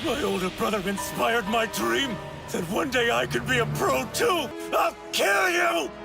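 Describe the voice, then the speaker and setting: A man's voice: extremely high pitch, takes it slowly, creaky, exaggerated voice. An actor playing a vengeful, anguished young male hero student in an anime dub. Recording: loud music over dubbed anime clip.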